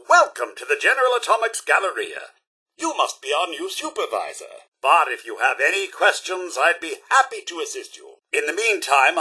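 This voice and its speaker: An actor playing a polite, fussy robot butler with a British accent in a video game, speaking in formal, over-polite service patter with a husky edge. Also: male voice, sits high, bright, forceful tone, full character voice. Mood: courteous, attentive. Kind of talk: theatrical video game voice acting.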